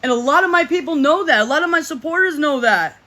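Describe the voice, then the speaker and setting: Forceful, emphatic vlogger in their thirties. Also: lively intonation, fast talker. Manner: emphatic, repeats and restarts phrases; speaks in a self-described deep voice. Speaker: vlogger speaking to supporters. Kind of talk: casual monologue to camera.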